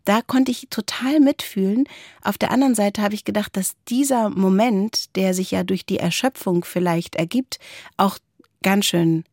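A woman's voice: medium pitch, moderate pace, darkish tone, no room sound, studio quality. A woman in a reflective podcast conversation, co-hosting and thoughtfully searching for her words. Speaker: podcast co-host.

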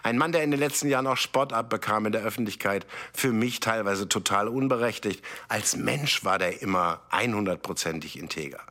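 An actor playing a calculating, self-assured broadcast executive talking in a mockumentary interview. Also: male voice, on the low side, a little fast, few pauses, sharp tone.

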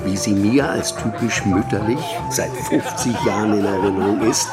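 A man's voice: on the low side, moderate pace, over loud music, close to an everyday voice. An actor in a radio play playing a middle-aged man who tells things in an ornate, mock-pompous, hesitant way. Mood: mock-dramatic storytelling. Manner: ornate mock-pompous phrasing with stammered starts. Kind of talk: naturalistic radio play dialogue, anecdote telling.

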